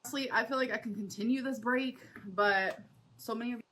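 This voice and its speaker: A woman's voice: on the high side, fairly quick, a bit bright. A woman vlogger chatting casually while she does her makeup, rambling and full of likes. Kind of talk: casual makeup chat vlog.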